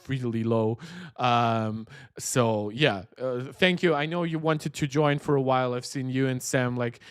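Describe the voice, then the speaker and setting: A man's voice: on the high side, fairly bright tone, smooth, no echo, studio quality. A man hosting a tech livestream, chatting and giving opinionated reactions as he talks directly to his viewers. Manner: conversational, opinionated, talking to viewers. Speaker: tech channel host. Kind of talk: livestream chat and reaction commentary.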